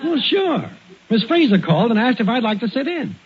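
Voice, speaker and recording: High, lively, blustering American neighbor. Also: male voice, brisk, husky, heavily acted. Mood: confident. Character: excitable, breezy, bumbling male next-door neighbor. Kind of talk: 1940s American radio comedy acting. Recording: muffled, hissy 1940s radio recording.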